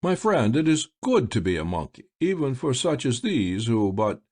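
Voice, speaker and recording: A man's voice: mid-range voice, very animated, normal speed, darkish tone. A man voicing a sly, flamboyant blind male stage magician with grand, theatrical exclamations. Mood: wistful.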